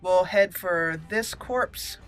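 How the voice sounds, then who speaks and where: A woman's voice: on the low side, takes it slowly, soft background music. A woman giving casual, chatty video game commentary, talking through each step as she plays. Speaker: video game let's play host.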